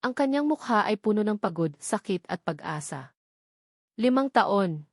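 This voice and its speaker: A woman narrates a dramatic romance in a scripted storybook style. Her pitch sits in the middle range and her delivery is measured and sentimental. The sound is very dry, with no room on it. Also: a little mellow, no room sound.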